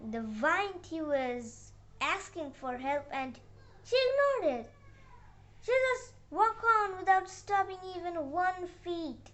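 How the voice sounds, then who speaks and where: A child narrating a moral fairy tale with halting, uneven phrasing and dramatic emphasis on the dialogue. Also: sits high, a bit bright. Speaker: storyteller.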